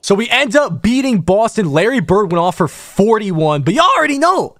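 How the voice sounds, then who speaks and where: A man's voice: high-pitched, sing-song, moderate pace, fairly bright tone, no room sound, faint music behind. A man giving casual, slangy gameplay commentary in an incredulous manner. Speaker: gaming YouTuber.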